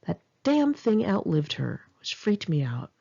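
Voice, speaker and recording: Expressive lower-pitched woman reading memoir. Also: unhurried, creaky, slightly rough, highs cut off. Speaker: author reading own memoir. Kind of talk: first-person memoir narration, audiobook read in one take.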